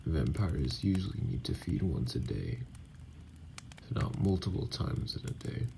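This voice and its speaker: A man voicing a wounded, weary, distrustful vampire in an ASMR roleplay, speaking hesitantly in formal, guarded wording with a gravelly, sometimes breathy voice. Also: lively intonation, a little slow, dark tone, slight background noise, exaggerated voice. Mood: reluctant, weary. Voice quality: very deep, gravelly, breathy at times. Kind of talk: ASMR roleplay audio acting.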